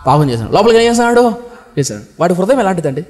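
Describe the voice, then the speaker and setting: A male pastor preaching a fiery sermon, throwing out rhetorical questions and swinging between loud outbursts and low, heavy lines. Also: very animated, racing, long reverb tail.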